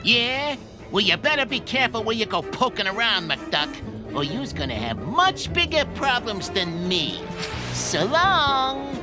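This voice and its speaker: Surly cartoon crook, animated pitch. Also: male voice, measured pace, over music, exaggerated voice. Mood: menacing. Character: surly cartoon crook, threatening street tough. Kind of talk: cartoon video game acting.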